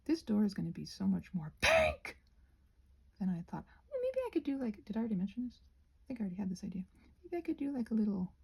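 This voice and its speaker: A soft-spoken woman with a breathy voice that often nears a whisper, rambling casually and self-deprecatingly to herself as she crafts. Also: mid-range voice, wildly swooping pitch, quick pace. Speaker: craft and ASMR video creator.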